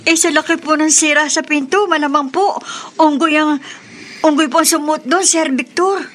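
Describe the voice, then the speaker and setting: A woman's voice: sits high, moderate pace, a bit bright, a little hiss or hum. A woman playing a panicky, deferential household maid in a radio drama, stammering with urgency. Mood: worried.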